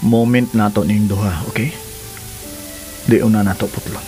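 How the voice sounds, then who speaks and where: An actor playing a sweet-talking cheating husband who turns evasive when cornered, in a radio drama. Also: male voice, fairly low pitch, steady tone, moderate pace, mellow, natural voice. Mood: tender, coaxing. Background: music.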